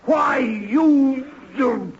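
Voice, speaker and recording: An actor playing a pompous, gruff but affectionate old doctor with a florid, blustery way of talking in a radio comedy. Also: male voice, extremely high pitch, drawn-out words, a little hiss or hum, exaggerated voice. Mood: indignant. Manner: elaborately insulting, blustery. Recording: muffled vintage radio recording.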